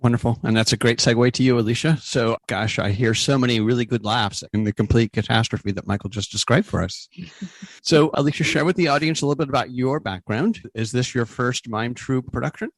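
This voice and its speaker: A man's voice: mid-range voice, fairly quick, no echo. A man hosting a conversational interview and putting questions to guests. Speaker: podcast host.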